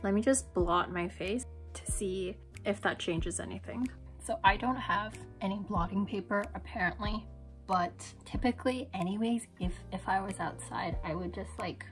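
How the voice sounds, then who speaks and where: A young woman chatting casually to her camera in a vlog, candid and quick to swear, sometimes dropping to a whisper. Her voice is wearing thin after a long day, and faint music plays underneath. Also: medium pitch. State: losing her voice. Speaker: beauty vlogger.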